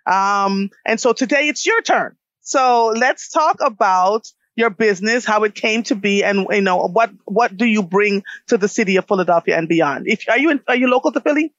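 A woman's voice: medium pitch, expressive, normal speed, no room sound, dull audio. A woman from Jamaica hosting a radio show, interviewing a guest in a conversational way.

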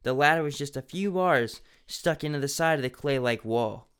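A man's voice: medium pitch, moderate pace, a bit bright, clear, resonant tone. A young man reading a children's mystery book aloud in a mocking, dramatic way, breaking off to make sarcastic comments. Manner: sarcastic, laughing at the text.

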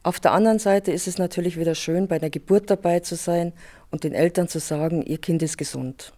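A woman's voice: fairly low pitch, a little fast, warm tone. A woman, a sign language interpreter, explaining her work conversationally with small hesitations. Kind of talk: radio interview soundbite.

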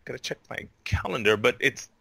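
An enthusiastic male guest, raised in Honolulu, chats on a podcast. His voice is bright and forward, at an average pitch. The sound is dry, with no room echo. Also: animated, fairly quick, vocal fry, husky. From Indiana-born, raised in Honolulu. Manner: enthusiastic, says "man" and "freaking". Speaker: guest, actor. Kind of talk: casual interview chat.

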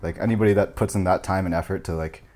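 Young man, American, quick casual talker, low pitch, rambling. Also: steady tone, a little mellow. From United States. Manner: rambling, heavy filler "like", chuckling. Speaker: podcast guest. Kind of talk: casual chat.

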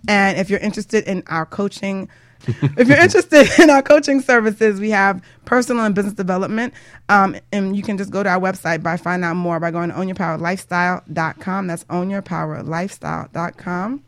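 A woman's voice: mid-range voice, fairly quick, no echo. Chatty woman radio host, dry close sound. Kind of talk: casual radio show sign-off chat.

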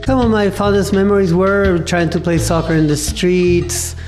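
A man in his fifties, born in Argentina, recalls his past in an unhurried, thoughtful interview. His voice sits a bit high for a man. Soft guitar plays faintly behind him. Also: steady tone. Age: 50s. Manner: thoughtful, many pauses and "uh" fillers. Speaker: interview guest, cafe owner. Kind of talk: reflective personal storytelling.

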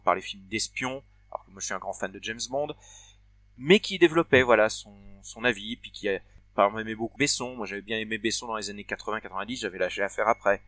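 A man's voice: on the low side, fairly bright tone, no room sound. A man in his forties co-hosting a casual conversation, talking hesitantly with many fillers and restarts. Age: 40s. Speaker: podcast co-host.

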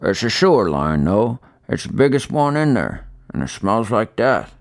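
A man voicing a fat old rural homeowner, gruff and blunt, with a folksy way of talking. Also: fairly low pitch, sing-song, moderate pace, no echo, studio quality. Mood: grumbling.

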